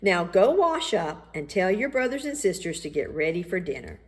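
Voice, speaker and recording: A loving storybook mother voiced by a woman reader, patient and reassuring yet gently firm. Her delivery is animated, with plenty of rise and fall. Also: on the low side, moderate pace, husky. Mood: gently instructive. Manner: reassuring, instructive. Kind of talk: children's storybook read-aloud.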